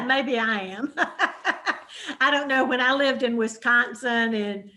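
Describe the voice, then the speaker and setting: A woman's voice: a little high, slow pace, pushed and bright. Southern woman host, chatty moderator of a book talk, lots of fillers. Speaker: moderator of a women's book program. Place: hybrid in-person and Zoom event.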